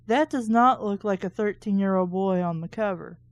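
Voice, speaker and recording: A woman's voice: mid-range voice, moderate pace, no room sound. A woman hosting a book reading show, reading aloud casually and breaking off for sarcastic, critical asides.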